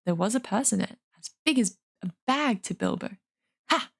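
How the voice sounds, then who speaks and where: A woman with a husky voice reading a fantasy novel aloud as dramatic storybook narration. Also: medium pitch, lively intonation, moderate pace. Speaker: streamer reading an audiobook.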